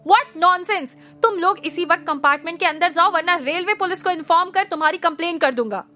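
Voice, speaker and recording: A stern male train attendant who scolds fast and loud, with no patience, as a woman narrator puts him on. It sounds like a storybook reading. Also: very high voice, pitched above the performer's own voice. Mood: scolding.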